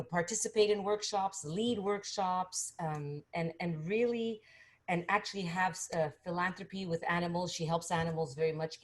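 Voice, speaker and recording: A woman hosting an interview show, talking enthusiastically with frequent fillers and restarts. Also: on the low side. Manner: enthusiastic, halting with many fillers. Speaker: podcast host. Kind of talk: casual interview chat.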